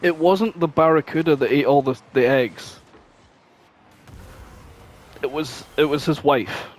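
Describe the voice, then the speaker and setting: A man's voice: a little high, measured pace. A man co-hosting a podcast in casual chat, exclaiming and swearing freely. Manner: foul-mouthed, exclamatory. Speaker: podcast co-host.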